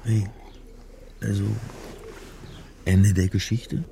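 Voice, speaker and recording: A man's voice: lowish voice, little pitch movement, slow pace, warm tone, a little hiss or hum. An actor playing a wry, exasperated male academic turned amateur sleuth in a German radio crime drama, speaking conversationally with some hesitation. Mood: puzzled, curious. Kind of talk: German radio play, narration and dialogue.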